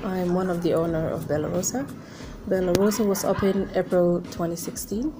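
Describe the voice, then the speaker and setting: A young woman who owns a spa, speaking to camera outdoors, relaxed and often pausing with fillers. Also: fairly low pitch, noisy background. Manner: relaxed, hesitant with many fillers. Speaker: spa owner. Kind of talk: interview to camera. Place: outdoors in a garden.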